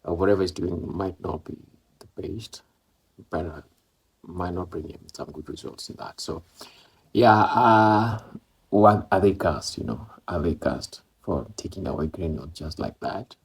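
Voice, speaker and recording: A man's voice: deep voice, unhurried, rough recording. A man from East Africa, speaking English as a second language, talks casually about a football match and rambles with many fillers and repeats. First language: Swahili or Maloko. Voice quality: gravelly, husky. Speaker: football vlogger.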